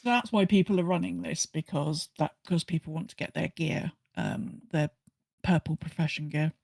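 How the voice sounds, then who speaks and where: A woman's voice: low voice, moderate pace, vocal fry, a little mellow, no room sound, studio quality. A woman chatting casually as co-host of a gaming podcast.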